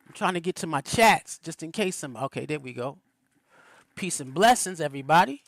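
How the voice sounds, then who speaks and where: A man with a fairly high voice gives a spiritual teaching on a livestream. He talks loosely and conversationally, often restarting and filling gaps. The sound is close and dry, with no room echo. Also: expressive, a little fast, gravelly, a bit bright.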